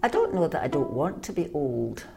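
A woman's voice: low voice, big pitch swings, moderate pace, creaky, a little mellow, faint music behind. A frank, no-nonsense woman with a Scottish accent, chatting casually in an interview.

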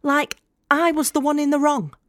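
An actress playing a defiant, bitter young student with a Northern English accent in an audio drama monologue, blunt and sweary. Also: female voice, sits high, flat delivery, moderate pace, dry recording, studio quality, close to an everyday voice. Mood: indignant. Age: young adult. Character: defiant, bitter young British woman student, sharp-tongued and sardonic.

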